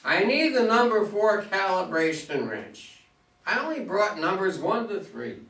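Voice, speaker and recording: Guy reading a part as goofy muddled astronaut on the moon, high bright voice, amateur play, roomy and far from mic. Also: sing-song, a little slow, creaky, exaggerated voice. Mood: businesslike. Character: joking, scatterbrained male astronaut on a moonwalk. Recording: echoey room, distant microphone.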